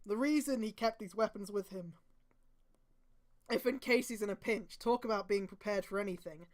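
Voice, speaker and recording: A woman's voice: mid-range voice, moderate pace. A woman streamer reading fan fiction aloud, with sweary, exasperated commentary and emphatic delivery. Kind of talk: mocking read-aloud with casual commentary.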